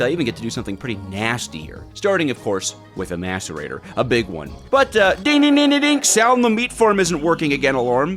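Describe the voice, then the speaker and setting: A man hosting and narrating a scripted gaming video in an excitable, playful, emphatic manner. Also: medium pitch. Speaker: host, gaming video creator.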